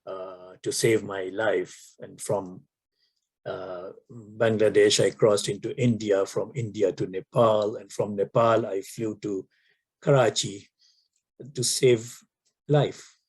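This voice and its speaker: An older man from Pakistan, whose first language is Urdu, answering interview questions slowly with many pauses and fillers. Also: on the low side, steady tone, darkish tone, breathy edge. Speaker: author guest.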